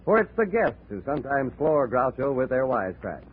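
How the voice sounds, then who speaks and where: A man announcing on a 1940s radio broadcast, with a bright, polished promotional delivery. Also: mid-range voice, sing-song, fairly quick, a little hiss or hum. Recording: tinny old radio broadcast recording.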